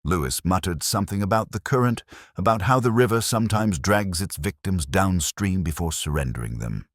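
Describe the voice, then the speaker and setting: A weary, cultured police inspector played by a man narrates a detective drama in an extremely low, husky voice. He is brooding and measured, with literary phrasing. The sound is close and dry. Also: expressive, dry recording. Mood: brooding, reflective. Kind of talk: scripted first-person detective drama narration.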